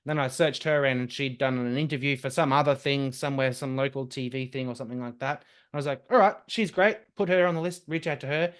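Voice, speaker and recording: A young man, a podcast guest chatting casually and telling lively stories. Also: medium pitch, smooth. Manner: lively, quick storytelling with laughs and restarts. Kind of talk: casual conversation.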